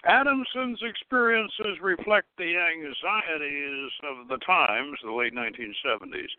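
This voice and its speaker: A man hosting a radio talk show, heard over a thin, phone-like line with very little room sound. His voice sits a little high, and he presents in a deliberate, sometimes hesitant manner. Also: no echo. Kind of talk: introducing a talk show, partly reading from notes. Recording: tinny phone-like line, dry.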